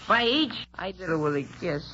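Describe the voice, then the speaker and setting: High, sharp-voiced drunken teenage boy. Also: big pitch swings, fairly quick, heavily acted. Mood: defiant. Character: naive, hot-headed drunken teenage boy, poetic and defiant. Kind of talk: old-time radio acting. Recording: muffled old radio recording, faint hiss.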